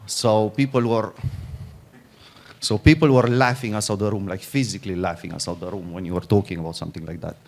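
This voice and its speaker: A man's voice: fairly low pitch, fairly even intonation, a little fast, a little mellow. A middle-aged man from Romania, giving an informal conference talk, often restarting and repeating words. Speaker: conference speaker, fund founder.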